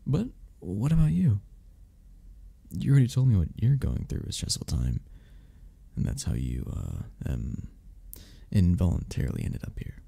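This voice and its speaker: A man playing a gentle, patient, flirty cuddle club member in an intimate ASMR romance roleplay, soft-spoken, considerate and reassuring, with a gravelly, husky voice. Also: sits low, very animated, moderate pace, heavily acted.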